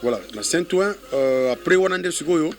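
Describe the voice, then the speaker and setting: A man with a Congolese accent chatting casually as an interview guest in French and Lingala, halting and repeating words. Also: a little high, soft background music. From Kongo Central, DR Congo.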